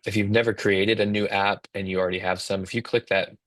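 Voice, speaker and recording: A man in his thirties talks through steps in a casual, instructional way. His voice sits low and moves little in pitch. The sound is dry, as from a close mic on a video call. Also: little pitch movement, quick pace, fairly bright tone, dry recording. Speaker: co-host, app company team member. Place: indoors on a video call, virtual background.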